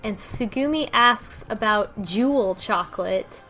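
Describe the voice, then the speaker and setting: A woman's voice: medium pitch, a little slow, pushed and bright. A woman co-hosting a casual group chat, recapping a visual novel story. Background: background music.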